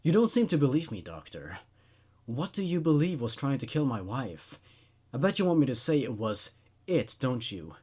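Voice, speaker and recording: A man's voice: medium pitch, sing-song, normal speed, mellow, breathy edge. A man voicing a tormented, unhinged male patient in a horror story, intense, strained and accusatory. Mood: menacing, mocking.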